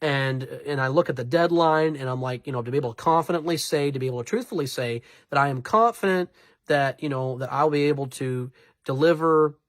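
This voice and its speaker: A man's voice: mid-range voice, fairly quick, no echo, clean studio sound. A congested, nasal-sounding man giving informal advice to viewers as a voiceover artist, rambling and often correcting or repeating himself for emphasis. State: congested from allergies and sinus trouble.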